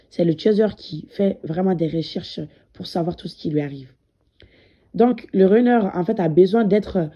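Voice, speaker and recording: A woman's voice: lowish voice, mellow, muffled recording. A woman with a cold, speaking directly to viewers as a spiritual medium and coach, hesitant and full of fillers. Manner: hesitant, many fillers, repeats words. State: has a cold. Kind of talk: direct-to-camera spiritual talk.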